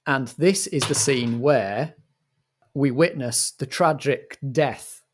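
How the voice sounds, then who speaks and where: A British man with a medium-pitched voice acts as compere and game master. His delivery is measured and theatrical, with a mock-formal touch suited to narrated radio comedy. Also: slight background noise.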